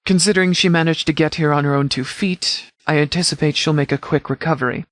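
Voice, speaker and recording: A man acting a stern, authoritative sheriff in a video game, speaking in a formal, measured, commanding way. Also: on the high side, fairly even intonation, a bit bright, no room sound, close to an everyday voice. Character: stern, authoritative male sheriff of a wasteland militia. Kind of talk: scripted video game character dialogue.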